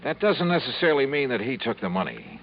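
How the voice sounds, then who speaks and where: An actor playing a wry, dogged insurance investigator who also narrates, in 1950s radio drama style. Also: male voice, medium pitch, sing-song, quick pace. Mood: probing. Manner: dry, needling questions. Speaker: narrator and lead. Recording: dull, hissy old radio broadcast.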